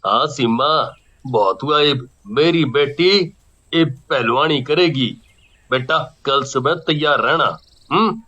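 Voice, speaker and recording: A man's voice: medium pitch, bright, forceful tone, breathy edge, exaggerated voice. An actor with a Haryanvi accent voicing the ghost of a stern but loving wrestler father in a cartoon, speaking firmly and unhurriedly. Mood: firm, fatherly. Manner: firm, unhurried fatherly speech. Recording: slightly muffled lo-fi audio. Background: faint music.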